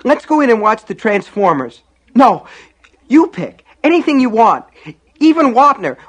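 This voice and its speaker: A man's voice: sits high, extremely slow, bright, forceful tone, voice pushed up, exaggerated voice. A man voicing a terrified little boy in a story, tearful and pleading in a whimpery, babbling way. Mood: desperate pleading.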